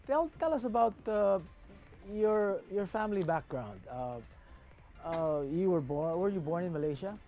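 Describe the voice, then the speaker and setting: Halting man hosting a talk show. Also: sits high, animated, dark tone, faint music behind. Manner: halting, repeats words while forming questions. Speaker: host.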